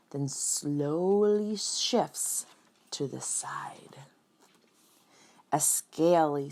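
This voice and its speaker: A woman reads a picture book to young listeners in a slightly low voice. She takes her time, speaking very slowly and addressing the children directly. Her storytelling is expressive, with dramatic emphasis on key words. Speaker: children's read-aloud reader.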